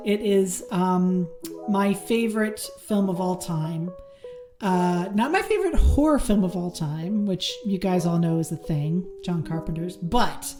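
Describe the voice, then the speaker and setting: A woman hosting a horror movie podcast, chatting casually with many ums, her voice a little worn. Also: mid-range voice. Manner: chatty, lots of ums and restarts. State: losing her voice. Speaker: podcast host. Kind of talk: casual solo review and news.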